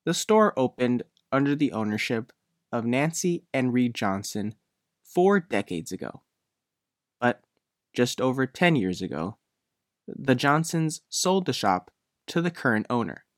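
A male reporter reads a prepared news feature. His pitch is average for a man and moves up and down in an animated way. The sound is dry, with no room around it. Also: measured pace, no room sound. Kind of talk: scripted news feature narration.

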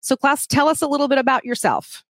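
A woman hosting a live interview stream, chatty and animated, with easy laughter. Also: high voice, quick pace, dry recording. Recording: dry close audio.

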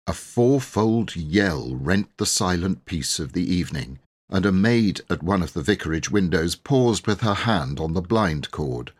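A man reading a storybook aloud as an audiobook narrator, in a measured, dramatic and expressive way. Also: deep voice, slightly rough. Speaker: audiobook reader.

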